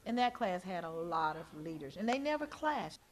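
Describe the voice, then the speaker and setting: A woman's voice: lowish voice, sing-song, moderate pace. A middle-aged female schoolteacher, talking informally and plainly in an interview. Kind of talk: interview reminiscence.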